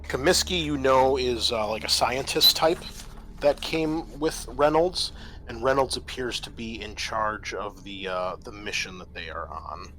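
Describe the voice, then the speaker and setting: A man's voice: medium pitch, a little slow, fairly bright tone, rough recording. A man acting as game master, explaining the rules and setting of a tabletop game in a conversational way. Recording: faint background noise.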